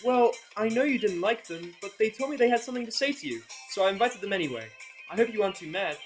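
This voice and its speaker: A man's voice: high voice, animated, fast, soft background music, pitched above the performer's own voice. A man voicing a bubbly, sweet young female cartoon pony in a fan audio drama. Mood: coaxing, sweet.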